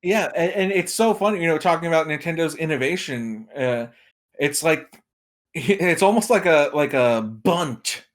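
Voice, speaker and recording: A man's voice: fairly high pitch, animated, measured pace. A man speaking casually and enthusiastically as a guest on a video game podcast.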